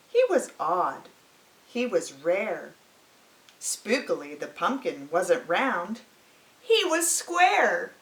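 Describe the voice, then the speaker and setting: A woman reads a picture book aloud for children with very lively, swooping intonation. She takes her time, drawing out words in a dramatic storyteller's way. The room around her voice is clearly echoey. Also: a little high.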